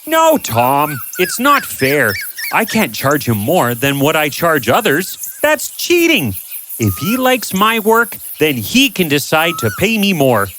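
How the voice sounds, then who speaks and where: A man's voice: fairly high pitch, wildly swooping pitch, takes it slowly, pushed and bright, a little hiss or hum, heavily acted. A man voicing an honest, humble village painter in a storybook tale, speaking politely and earnestly.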